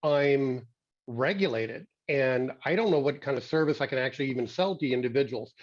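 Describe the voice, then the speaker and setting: A hoarse man attending an online workshop, asking a long question in a halting way while searching for words. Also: mid-range voice. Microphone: video call. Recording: dull video call audio.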